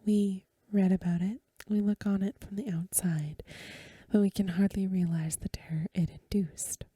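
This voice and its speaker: A woman reads a story in a gentle ASMR whisper. Her voice is very soft, dark and extremely breathy. She takes her time, sounding hushed and close to the mic in a dry space. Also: medium pitch, fairly even intonation, normal speed, no echo. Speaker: ASMR reader and host.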